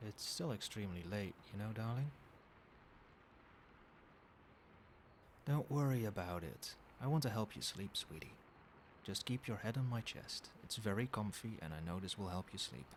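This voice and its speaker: A man playing a doting yet controlling, quietly menacing boyfriend in a soft-spoken ASMR roleplay, coaxing tenderly with pet names. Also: on the low side, brisk. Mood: tender, sleepy. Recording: steady background noise, night ambience. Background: rain and cricket sounds.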